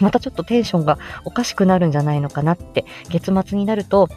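A woman's voice: fairly low pitch, animated, quick pace, dark tone, soft background music. A woman rambling casually to her listeners as a stream host, giggly and self-deprecating. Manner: giggly, self-deprecating, laughs often at herself.

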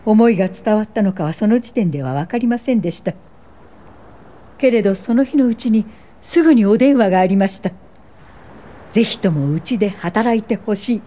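An older woman, an actress in the role, narrates an earnest memoir for a radio drama. Her voice is mellow and soft-edged at a middle pitch, with faint noise behind it. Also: expressive, moderate pace, lightly acted. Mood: reflective. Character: earnest Japanese woman social worker recalling her life. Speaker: narrator and lead actor.

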